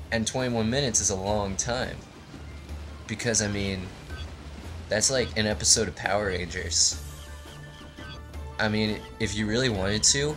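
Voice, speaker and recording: A man hosting a video game let's play, giving rambling, joking commentary in a deadpan, tongue-in-cheek, meandering way. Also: on the low side.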